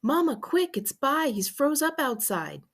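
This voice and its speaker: A woman voicing an excitable schoolboy in a storybook, exclaiming urgently for help. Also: high-pitched, measured pace, voice pushed up, full character voice.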